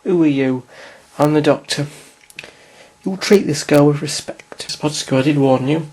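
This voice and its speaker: A performer voicing a bold, theatrical man who speaks quickly and forcefully. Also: mid-range voice, natural voice. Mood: firm. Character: brisk, bold man, the Doctor.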